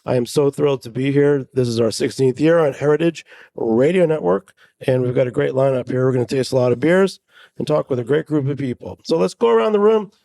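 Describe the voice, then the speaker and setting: Gravelly, upbeat radio host man. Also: medium pitch, brisk, warm tone, no room sound. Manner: upbeat, enthusiastic. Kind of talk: on-site radio interview.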